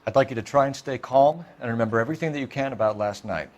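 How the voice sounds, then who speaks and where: An actor playing a brisk, formal 1940s police detective in a game, businesslike and polite as he questions people. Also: mid-range voice, natural voice. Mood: grave, formal.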